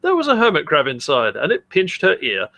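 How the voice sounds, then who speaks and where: A sardonic man co-hosting a casual comedy podcast. His voice sits a little high and swings widely in pitch, with a wry edge to both his banter and his mock-dramatic reading. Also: huge pitch swings, a little fast, pushed and bright. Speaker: podcast co-host.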